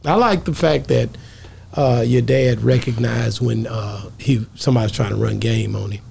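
A middle-aged man hosts a casual podcast chat from a small studio, leaning into a close studio mic. His voice sits at a middle pitch with a gravelly edge, and he drifts between street slang and scripture in a relaxed, conversational way. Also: moderate pace, a little hiss or hum. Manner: relaxed, talks in streetwise phrases and quotes scripture. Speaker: podcast host. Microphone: studio microphone close up, wearing headphones.

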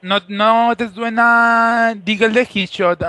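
A man playing an insistent, boastful foreign gamer on a prank call, halting and repetitive, with a put-on Moroccan accent. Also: extremely high pitch, fairly even intonation, bright, forceful tone, no echo, heavily acted. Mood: pushy, boastful.

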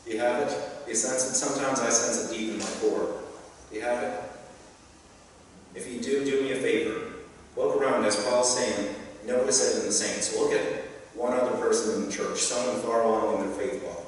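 A man's voice: mid-range voice, steady tone, normal speed, a little hiss or hum. A young man preaching a sermon from a pulpit, earnest and encouraging, with light humorous asides. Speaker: pastor. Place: church sanctuary, speaking from a pulpit. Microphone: pulpit microphone. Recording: echoey sanctuary, heard from a distance.

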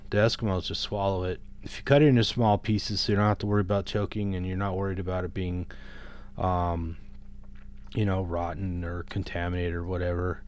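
A man's voice: deep voice, clear, resonant tone. A man in his fifties talking casually and unscripted to camera as a vlogger, giving advice in a rambling, hesitant, conversational way, close to the microphone. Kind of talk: casual unscripted vlog talk. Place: small room at home, indoors. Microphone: large microphone on a boom arm, close up.

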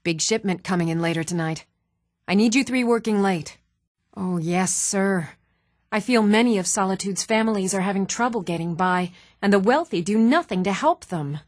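An actress playing an adult townswoman in a fantasy role-playing game, speaking in an even, measured, clearly enunciated way. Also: medium pitch, dry recording, clean studio sound, natural voice. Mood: earnest, concerned. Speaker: video game voice actor. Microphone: close, dry studio microphone.

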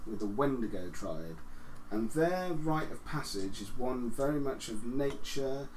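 A man's voice: mid-range voice, animated, a little slow. A man running a tabletop role-playing game as game master, narrating scenes to the players. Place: living room, group around a coffee table. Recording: echoey room with faint background noise.

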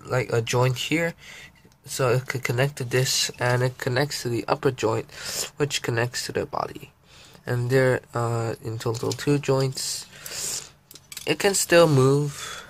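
Young male, teens or early twenties, hobby video creator. Unhurried, halting casual delivery at a middling pitch, unscripted, phone-recorded at home. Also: a bit bright, slight background noise. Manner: halting, informal, stops to narrate handling the pieces. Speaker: hobby video creator presenting a toy build. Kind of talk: casual unscripted show-and-tell. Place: indoors, small room at home. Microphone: handheld phone behind the camera.

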